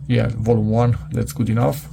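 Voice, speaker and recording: Hesitant man with a creaky voice. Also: mid-range voice, level tone, very noisy. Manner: hesitant, halting, muttering to himself. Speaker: product reviewer. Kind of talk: casual unscripted hands-on review, thinking aloud while reading a manual.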